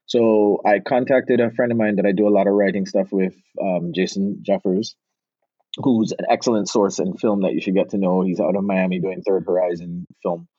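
A middle-aged man from Barbados, a film director and actor, telling animated stories in a casual interview and slipping into relaxed island grammar. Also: deep voice, normal speed, no room sound, highs cut off.

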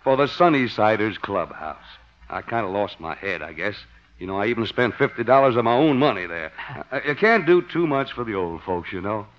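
A man's voice: lowish voice, lively intonation, fairly quick, lightly acted. An actor playing a folksy, big-hearted, sheepish man in a 1950s radio comedy. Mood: sheepish, sincere. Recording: dull old radio recording.

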